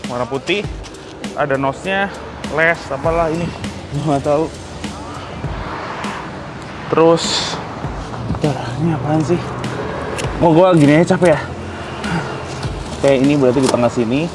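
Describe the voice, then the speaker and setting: Young man, chatty, excitable, fairly high voice, outdoor yard, camera mic, music behind. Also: unhurried, long pauses. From Indonesia. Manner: chatty, excitable, swears and laughs. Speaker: motovlog host. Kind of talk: casual vlog unboxing.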